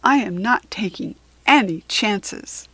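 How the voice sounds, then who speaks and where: An American woman reading a children's picture book aloud in an expressive, deliberate, teacherly way. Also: mid-range voice, husky, no room sound.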